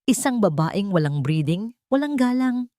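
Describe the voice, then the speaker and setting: A woman's voice: mid-range voice, sing-song, normal speed, warm tone, no echo, clean studio sound, close to an everyday voice. A woman voicing a cruel, haughty rich fiancée in an audio romance story, scolding with contempt. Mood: mocking.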